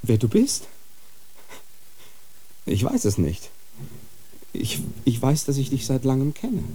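An actor playing a tormented young friar in a radio drama, intense and anguished. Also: male voice, medium pitch, wildly swooping pitch, slow, mellow, a little hiss or hum, low-quality recording. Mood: defiant.

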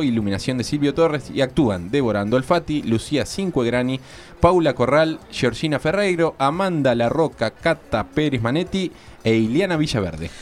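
Argentine man presenting radio theatre listings. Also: medium pitch. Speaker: radio co-host. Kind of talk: reading theatre festival listings aloud, casual banter.

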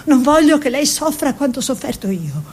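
A woman's voice: high-pitched, big pitch swings, fairly quick, darkish tone, a little hiss or hum. An actress playing a proud, anxious painter's wife and mother, once a peasant model, in an emotive, hesitant radio drama. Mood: agitated, protective. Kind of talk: intimate theatrical radio drama, reminiscing dialogue.

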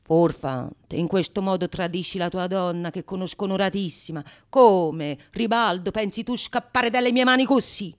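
A woman's voice: normal speed, hardly any pauses, close to an everyday voice. A woman playing a sly, bold male painter in a theatrical Renaissance comedy, declaiming righteous accusations. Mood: threatening. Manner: low, menacing, declamatory.

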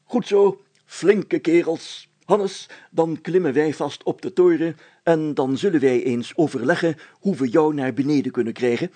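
A man's voice: fairly high pitch, unhurried, mellow. A man voicing a pompous, self-satisfied, flustered mayor in a story, stammering and speaking in grand, bossy phrases. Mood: pleased, pompous. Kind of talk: dramatised story reading.

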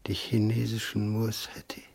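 A man playing a composed, menacing crook in a German radio crime drama, speaking in a dry, measured way. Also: on the low side, monotone, creaky, darkish tone, husky. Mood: dying, faint.

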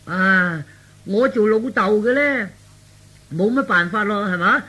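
High-pitched Cantonese old father. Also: slow pace, bright, forceful tone, exaggerated voice. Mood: nonchalant. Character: easygoing, exclamatory elderly father with grown son. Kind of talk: one-man radio storytelling.

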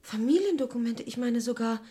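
An actress playing an indignant young daughter, reproachful and halting, in a radio drama. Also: female voice, fairly high pitch, lively intonation, dark tone, close to an everyday voice. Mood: shocked, reproachful.

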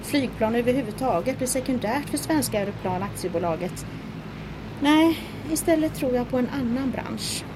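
An actress plays a clever young engineer who talks in a knowing, eager way. Her voice sits a little high, and a steady engine noise runs behind her. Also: natural voice. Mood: earnest. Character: clever young Swedish woman engineer, spirited. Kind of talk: audio drama acting.

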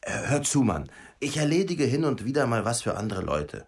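An actor playing a cocky, slangy small-time hustler in a German radio crime drama. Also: male voice, medium pitch, very animated, moderate pace, breathy edge. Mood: grudging. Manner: slangy, swaggering.

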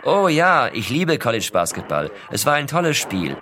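A man playing an excited, chatty male amateur radio caller in an audio drama. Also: mid-range voice, normal speed, slight background noise, pitched above the performer's own voice.

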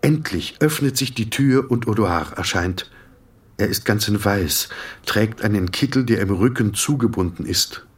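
A man narrating a literary audio drama in an introspective, dramatic way with deliberate phrasing. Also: lowish voice, expressive, breathy edge.

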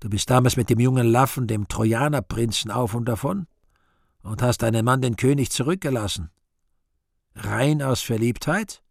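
An actor playing the Devil in a radio play, weary, sly and scornful, declaiming slowly and theatrically. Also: male voice, medium pitch, vocal fry, dry recording, clean studio sound. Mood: probing, scornful. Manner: slow, deliberate, theatrical declamation with drawn-out words.

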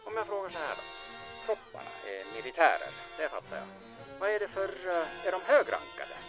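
With music behind him, a man acts out a suspicious superior in a radio play. His pitch swings wildly as he probes and scolds. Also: wildly swooping pitch, full character voice, poor audio. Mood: suspicious, probing. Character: suspicious, scolding military man hunting for gold teeth. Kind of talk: audio drama acting.

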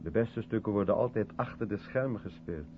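Actor for musing, probing man, very low voice, deliberate and wry, noisy thin-sounding 1970s radio drama. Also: highs cut off, natural voice. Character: reflective, sceptical South African man of colour, probing. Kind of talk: 1970s Dutch radio play acting. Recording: thin, noisy old radio recording with hum.

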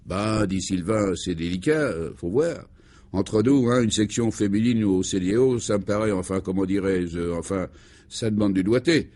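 A man plays a dry, sceptical fellow in a comic radio serial. He is wry and low-key, weighing his words in a slightly lowered voice. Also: normal speed, warm tone, lightly acted. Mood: hesitant. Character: dry, sceptical, hesitant male adventurer.

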